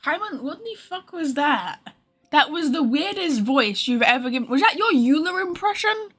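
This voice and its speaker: A woman's voice: high-pitched, sing-song, moderate pace, a bit bright, soft background music. A woman streamer giving casual livestream commentary, rambling and speculating, often trailing off mid-thought.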